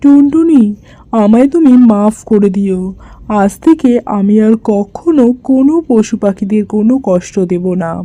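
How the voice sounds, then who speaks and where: A cartoon farmer man, acted by a woman, with a dark, mellow tone at a middling female pitch. He speaks firmly and earnestly, in a close, dry sound. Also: lively intonation, a little slow, dry recording, lightly acted. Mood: remorseful, kind. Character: stubborn male farmer who softens into remorse. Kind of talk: children's cartoon story voice acting.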